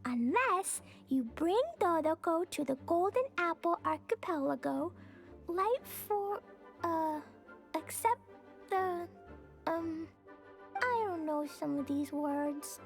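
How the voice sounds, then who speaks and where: A woman voicing a naive, earnest, stubborn little girl in a video game, with a cutesy childlike voice and an innocent, halting way of talking. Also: extremely high pitch, lively intonation, faint music behind, full character voice. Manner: innocent, halting, speaks of self in third person.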